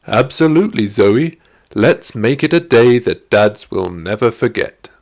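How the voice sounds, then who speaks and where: A man as a kind, unhurried rhino ranger. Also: medium pitch, very animated, darkish tone. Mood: enthusiastic. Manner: friendly, proud, agreeable. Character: strong, kind, enthusiastic male rhino zoo ranger. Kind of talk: children's storybook character voice.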